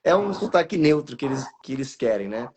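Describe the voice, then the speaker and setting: A man's voice: mid-range voice, very animated, brisk, crowd noise. A Brazilian actor in his thirties, speaking in a casual live interview and telling his career story with many restarts and fillers. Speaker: guest actor and voice actor.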